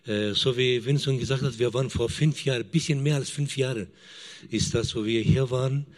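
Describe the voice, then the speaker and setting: A man in his fifties, a missionary giving a halting testimony as a church guest and often searching for words. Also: medium pitch, fairly even intonation. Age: 50s.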